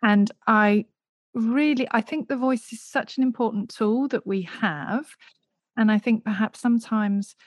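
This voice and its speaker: A woman's voice: a little high, unhurried, dry recording, studio quality. A woman hosting a podcast, interviewing conversationally while recording under a blanket. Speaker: podcast host.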